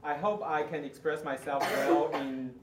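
A man with a Mandarin accent, a native Mandarin speaker, presenting a live demonstration to an audience in a hesitant, halting way. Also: fairly high pitch, fairly even intonation, noisy background. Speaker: workshop presenter. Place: large conference room, distant camera without microphone. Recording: echoey room, far from the microphone.

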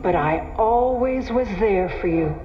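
A woman's voice: medium pitch, animated, a little slow, natural voice. An actress playing a ghostly, sister-like woman in a horror video game, imploring and tenderly reproachful. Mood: pleading. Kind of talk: video game cutscene acting. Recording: muffled, echoey, under loud music.

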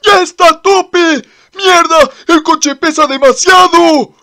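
A woman's voice: very high-pitched, slow pace, pushed and bright, full character voice. A woman voicing a fretful, whiny old male demon attendant in a manga dub, fussy and deferential. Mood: panicked. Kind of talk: amateur manga dub acting.

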